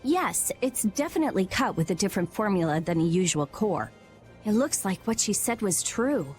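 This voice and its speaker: A woman's voice: mid-range voice, very animated, normal speed, darkish tone, lightly acted. A woman playing a brainy, blunt, hot-tempered young researcher in a video game cutscene, music behind. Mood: analytical, pensive. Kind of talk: English game cutscene voice acting.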